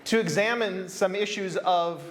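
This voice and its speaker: A man with an American accent giving an earnest, measured keynote talk at a conference, repeating phrases for emphasis. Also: high voice. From United States. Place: conference hall.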